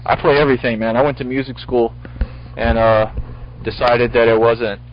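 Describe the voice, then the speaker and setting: A man's voice: medium pitch, fairly even intonation, moderate pace, faint music behind. A man hosting a casual call-in radio show, rambling and full-voiced, with many restarts. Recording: lo-fi radio stream with faint hiss.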